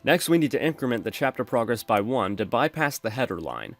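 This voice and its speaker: Male tutorial host, mid-pitched voice-over, even explanatory delivery, faint music bed. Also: brisk, dry recording. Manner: steady explanatory, addresses viewers as folks. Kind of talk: screen-recorded coding tutorial.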